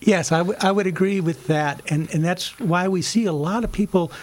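A man's voice: sits high, normal speed. An American man talking casually as an interview guest, explaining things in an easygoing way. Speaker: ukulele club member, interview guest.

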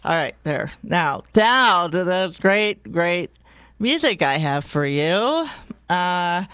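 An older woman with a deep voice hosting a community radio show in casual chat. She rambles with enthusiasm and often corrects herself mid-sentence. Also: big pitch swings, slow, a bit bright, clean tone, dry recording. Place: radio studio.

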